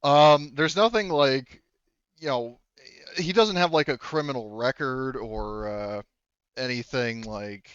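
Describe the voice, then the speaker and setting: A man chatting casually and haltingly as a player in a tabletop roleplaying game. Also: mid-range voice, a bit bright, clear, resonant tone, no echo, muffled recording.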